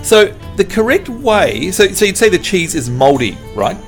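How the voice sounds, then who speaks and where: A man's voice: high-pitched, big pitch swings, moderate pace, soft background music. A man from New Zealand chatting casually with his live-stream viewers, playful and teasing as he quizzes them. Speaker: game streamer.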